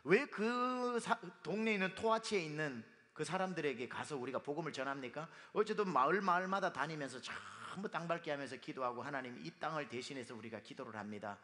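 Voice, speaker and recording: Man, pastor, hoarse voice, emphatic sermon delivery, slightly high pitch, hall reverb. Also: lively intonation, fairly quick, hardly any pauses, bright, forceful tone. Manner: emphatic, with sung and quoted lines. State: hoarse from dust. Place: church sanctuary.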